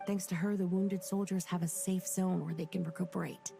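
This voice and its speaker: A woman's voice: fairly low pitch, steady tone, gravelly. A woman voicing a loyal young female military officer who briefs her leader in a game cutscene, over game music. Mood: briefing. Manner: brisk, respectful, wry. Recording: game audio with loud music.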